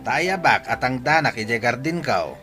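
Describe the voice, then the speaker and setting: A man with a Filipino accent playing a brisk but obliging older uncle who owns a farm, in a radio drama. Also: medium pitch, expressive, music in the background, natural voice. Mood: surprised, obliging. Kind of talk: Philippine radio drama acting.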